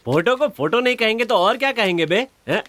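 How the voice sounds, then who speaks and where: A man's voice: high voice, expressive, brisk. An actor playing a brash village man, brusque and commanding as he scolds people. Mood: bossy, scolding.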